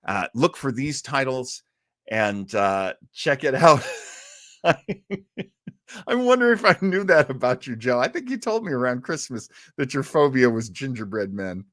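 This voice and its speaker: A man's voice: medium pitch, animated, a little slow, fairly bright tone, no room sound, studio quality. A man hosting a livestream in a chummy, joking, rambling way as he chats casually with viewers. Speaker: livestream host. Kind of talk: casual live chat with viewers.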